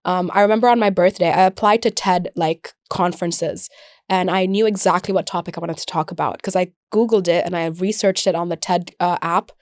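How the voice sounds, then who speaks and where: A woman's voice: fairly low pitch, fairly even intonation, fairly quick, no echo. Young emirati woman chatting on a podcast, tells stories by acting out the dialogue, close dry mic. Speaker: podcast guest, air traffic controller and comedian. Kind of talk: casual conversational storytelling.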